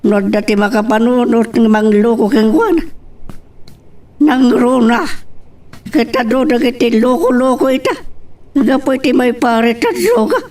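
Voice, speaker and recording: Slow, high-voiced old grandfather acted by a man. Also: a little mellow, recorded from a distance. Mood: grumbling. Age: older. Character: slow-talking elderly grandfather, fond of his grandchild. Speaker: actor in an audio drama. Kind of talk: Ilocano radio-style drama acting.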